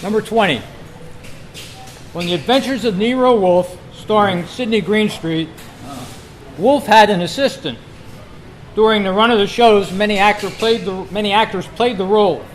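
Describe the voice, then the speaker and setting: High-pitched man reading quiz questions. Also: slight background noise, low-quality recording. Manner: deliberate, repeats each question. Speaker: quiz host at a club meeting. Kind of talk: reading out trivia questions to a group.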